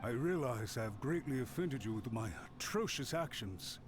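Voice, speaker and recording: A man's voice: mid-range voice, lively intonation, normal speed, slight background noise, lightly acted. A man playing an anxious, contrite official in an anime game, speaking formally and deferentially, as if pleading. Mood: beseeching. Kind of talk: scripted anime game voice acting.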